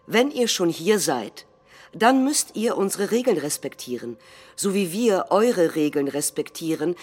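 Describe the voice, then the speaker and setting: A blunt, alarmist president, played by a woman delivers an anti-immigration address in a staged political reading. The voice is fairly low and emphatic. Faint music runs underneath. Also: darkish tone. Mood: blunt, stern.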